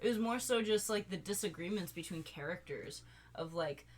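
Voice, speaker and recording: A woman's voice: lowish voice, normal speed. A woman chatting casually in a group, blunt and exasperated, swearing freely as she gives opinions.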